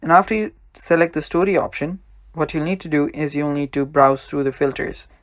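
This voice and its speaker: A man's voice: a little high, fairly quick, a bit bright, no room sound. A man hosting a casual step-by-step tutorial as a voice-over, addressing viewers directly with simple instructions. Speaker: tutorial host.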